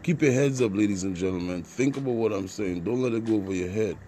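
A man's voice: lowish voice, fast talker, dark tone, faint music behind. A man from Trinidad and Tobago with a Caribbean accent, hosting an informal solo podcast in an earnest, preachy way and repeating points for emphasis. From Trinidad and Tobago, partly Jamaica. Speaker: podcast host.

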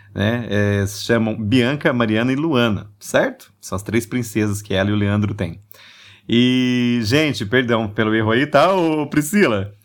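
A man with a Brazilian accent hosting a casual religious podcast, chatty and full of little tag questions, his voice nasal from a stuffy cold. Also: lowish voice. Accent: Brazilian Portuguese. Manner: chatty, warm asides, many tag questions. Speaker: podcast host.

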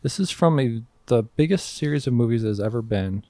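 A man's voice: medium pitch, lively intonation, brisk, warm tone, no room sound. A man hosts a casual quiz among friends in a mid-range voice. The sound is close and dry. He reads clues like mock-olde verse and rules on answers with teasing firmness. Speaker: quiz host on a podcast.

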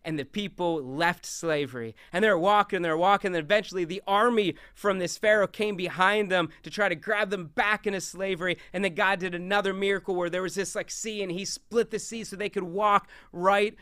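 A young man with a big, loud voice preaches from a stage, animated and always in motion. His tone is bright and crisp, slightly higher than most men's. The sound is dry and close. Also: fairly quick, few pauses, dry recording. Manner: animated, talks with hands, moves around on stage. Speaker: youth speaker. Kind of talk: lively stage talk on scripture.

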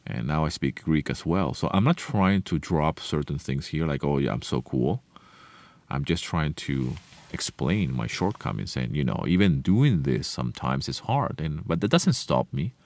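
A man with a very low voice, a native Spanish speaker, hosts a solo motivational podcast. He talks earnestly and conversationally, often restarting his sentences and adding "you know.". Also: lively intonation, normal speed, a little mellow, no room sound. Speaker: podcast host.

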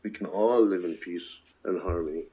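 An older man from Palestine who moved to the US as a child, speaking earnestly and reflectively in a personal oral history interview, with deliberate phrasing and emphatic repetition. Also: low-pitched, warm tone, breathy edge, low-quality recording. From born in Palestine, moved to the US as a child.

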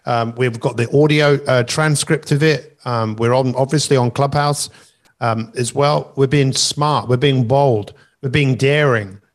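A man's voice: mid-range voice, steady tone, measured pace. A middle-aged man with a mid-range voice hosts a motivational session on an online call. He talks conversationally as a coach, landing points with emphasis and wandering into asides. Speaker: life coach and masterclass host.

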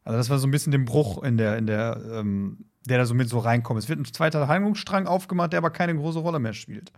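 A man's voice: medium pitch, quick pace, a little mellow. A man co-hosting a casual discussion, reflective and critical, often checking for agreement. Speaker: podcast co-host. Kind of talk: casual discussion of an audio drama.

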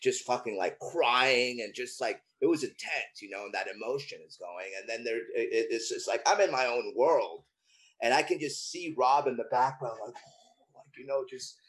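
Chilean-born man telling an animated anecdote. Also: medium pitch, moderate pace, low-quality recording. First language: Spanish. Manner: animated, profane, heavy use of "like" and "you know". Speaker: actor guest.

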